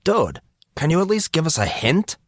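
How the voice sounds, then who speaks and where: A man voicing an excitable, nosy teenage boy with a pestering, dramatic manner in an audiobook. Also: fairly high pitch, normal speed, no room sound, studio quality, voice pushed up. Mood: eager, prying. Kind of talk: audiobook character voice.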